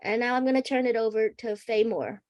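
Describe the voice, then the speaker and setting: A woman reads prepared guidelines aloud for a workshop. Her voice is a little higher than most women's. She goes item by item in a measured, deliberate manner. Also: a little mellow, muffled recording. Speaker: workshop participant reading guidelines. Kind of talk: reading a prepared pledge aloud.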